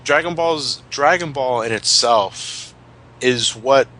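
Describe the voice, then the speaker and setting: A man's voice: mid-range voice, slow, sharp tone, low-quality recording. A man in his twenties chatting casually as a co-host of a group commentary. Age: 20s. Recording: clearly audible background noise.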